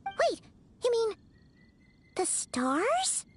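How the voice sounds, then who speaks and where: A woman voicing a tiny childlike fairy companion in a game, chatty and excitable, with a squeaky voice. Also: drawn-out words, noisy background, exaggerated voice. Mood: puzzled. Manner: excitable, chatty, refers to herself in third person. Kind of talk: cartoonish game voice acting. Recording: background music.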